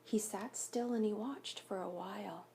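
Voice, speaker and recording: A woman reading a picture book aloud to children like a school teacher, pausing to explain things and ask questions. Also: medium pitch, noisy background. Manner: instructive, asks listeners questions. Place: home basement by a fire.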